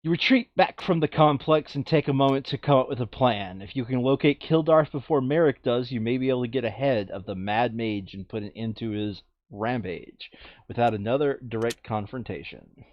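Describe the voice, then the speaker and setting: A man's voice: medium pitch, normal speed, no room sound. Casual male gamer on a dull, dry mic. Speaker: tabletop game player and narrator. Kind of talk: casual board game play commentary.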